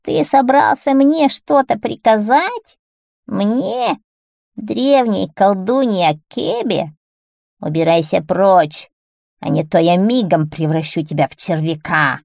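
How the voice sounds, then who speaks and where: Creaky, menacing old witch. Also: medium pitch, slow, a little mellow, no echo, clean studio sound. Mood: outraged. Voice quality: hoarse, creaky. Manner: snappish, threatening. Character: ancient, hot-tempered, menacing female witch.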